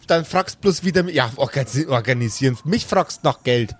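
A man's voice: mid-range voice, lively intonation, moderate pace, close to an everyday voice. A man voicing a nagging middle-aged mother who chides her grown child in colloquial, exasperated fondness. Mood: scolding. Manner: chiding, colloquial, swooping.

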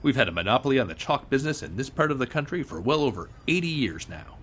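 A man's voice: mid-range voice, sing-song, fast talker, a bit bright, a little hiss or hum. A man playing an earnest, slightly evasive businessman under suspicion, formal and hammy, in old-time radio mystery style. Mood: proud, formal.

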